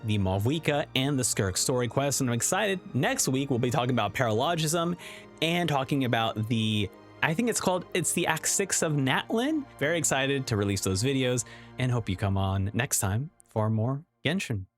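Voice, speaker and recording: A man's voice: medium pitch, animated, normal speed, soft background music. A man giving a casual, emphatic video game review as a host.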